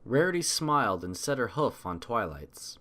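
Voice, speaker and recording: Animated man narrating a story. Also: medium pitch, normal speed. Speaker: audiobook narrator.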